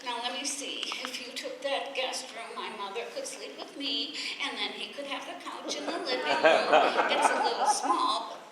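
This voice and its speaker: A woman's voice: on the high side, expressive, normal speed, few pauses, a crowd in the background, close to an everyday voice. A woman playing a scatterbrained, literal-minded, fussy wife in an old-time radio comedy. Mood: flustered, naive. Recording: echoey room, distant mic, faint noise.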